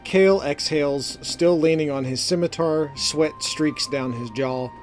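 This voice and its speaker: A man narrating a scripted fantasy story in a measured, deliberate, dramatic manner. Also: a little high. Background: background music.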